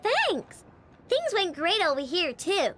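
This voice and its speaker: A woman's voice: very high voice, huge pitch swings, takes it slowly, noisy background, exaggerated voice. A woman voicing a cocky, self-important young girl inventor in an English video game, childlike and breezy. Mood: proud, breezy. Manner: boastful, breezy.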